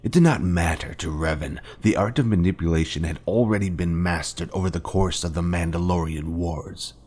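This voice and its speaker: A man playing a grave former Jedi Master in a video game, speaking in a solemn, deliberate, rhetorical way to his fallen pupil. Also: low-pitched, a little mellow. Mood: solemn, accusing. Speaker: game character. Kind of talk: scripted dramatic video game dialogue.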